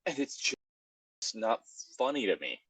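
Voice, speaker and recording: A host reads fan fiction aloud and comments on it in a sardonic, exasperated way. The pitch is mid-range and swings widely. The sound is dry and close. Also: big pitch swings, measured pace, a bit bright, slightly rough, dry recording. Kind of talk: casual comedic reading of fan fiction with commentary.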